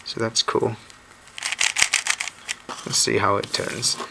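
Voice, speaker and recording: Hoarse male vlogger, very low gravelly voice, relaxed and unsure, home camera audio with a noisy background. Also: slow. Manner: relaxed, thinking aloud with mild uncertainty. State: hoarse, recovering from lost voice. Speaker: cube channel host. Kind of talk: casual unboxing commentary. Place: indoors at a wooden table. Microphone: camera mic on a tabletop shot of hands.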